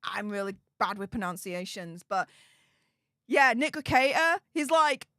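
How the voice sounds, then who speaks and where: A woman's voice: mid-range voice, animated, a little slow, bright, forceful tone, no echo, clean studio sound. A woman with a Yorkshire accent, hosting a casual livestream and chatting as she reads out viewer comments. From Yorkshire, England. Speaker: YouTube host reading live chat.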